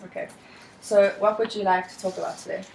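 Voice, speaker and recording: A young woman from South Africa with a South African accent, leading a practice counseling session in a calm, measured, reassuring way. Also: mid-range voice, gravelly, echoey room, a little hiss or hum, poor audio. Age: young adult. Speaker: student counselor.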